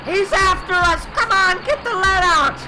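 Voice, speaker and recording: An actor playing an excitable, easily frightened companion of a tank engine in an amateur audio drama, urgent and exclamatory. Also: very high voice, unhurried.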